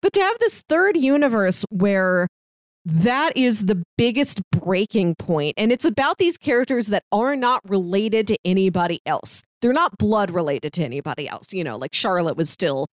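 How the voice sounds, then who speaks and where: A woman's voice: mid-range voice, animated, normal speed, dry recording, studio quality. A woman co-hosting a podcast, chatting casually and explaining her take on a show with emphasis. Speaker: podcast co-host. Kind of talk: casual TV discussion chat.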